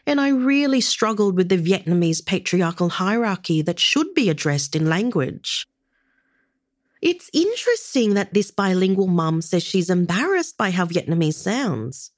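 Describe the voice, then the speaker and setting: A woman hosting a podcast, moving between scripted narration and interview questions in a calm, measured, earnest way. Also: medium pitch. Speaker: podcast host.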